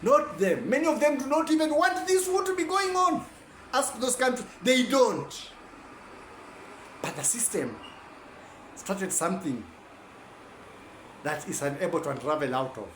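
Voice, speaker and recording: A man's voice: very high voice, very animated, a little slow, pauses often, a bit bright, reverberant, slight background noise. A man giving a rambling, self-correcting informal lecture.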